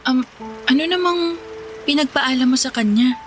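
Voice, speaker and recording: Actress as high-voiced playful young city woman. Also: normal speed, natural voice. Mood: surprised, hesitant. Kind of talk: Tagalog radio drama acting. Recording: music playing behind the voice.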